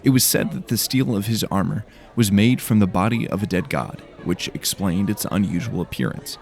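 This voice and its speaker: A man's voice: on the low side, expressive, normal speed, creaky, darkish tone. A man reading scripted fantasy audiobook narration as a storyteller.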